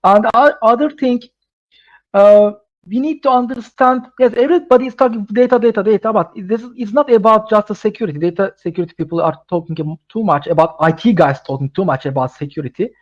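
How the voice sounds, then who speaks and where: A man with a Turkish accent and a fairly high voice, answering webinar questions in halting English, stopping and restarting his sentences. The sound is dull and lo-fi, like audio from a video call. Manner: halting non-native English with many restarts and fillers. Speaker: webinar speaker. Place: London.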